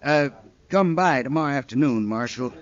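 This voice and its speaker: An actor playing an affable, folksy saloon partner in an old-time radio Western. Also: male voice, mid-range voice, lively intonation, normal speed, close to an everyday voice. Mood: friendly. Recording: dull vintage radio recording.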